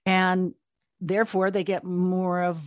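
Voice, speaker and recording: A woman's voice: fairly low pitch, level tone, slow, no room sound, studio quality. A woman speaking as an interview guest, answering conversationally and telling stories with emphatic repetition. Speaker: guest, audio drama platform co-founder.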